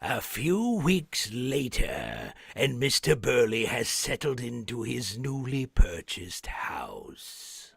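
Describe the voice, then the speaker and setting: A man's voice: mid-range voice, huge pitch swings, slow pace, creaky, few pauses, breathy edge, exaggerated voice. A man playing an ominous, theatrical narrator in old-time radio horror style.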